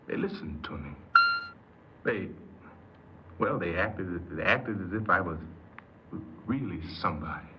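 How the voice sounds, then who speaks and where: An actor playing an earnest, insecure paroled ex-convict who tells his story haltingly in a 1950s radio drama. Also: low-pitched, animated. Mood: earnest, wistful. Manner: hesitant, halting, repeats words. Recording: noisy muffled 1950s radio recording.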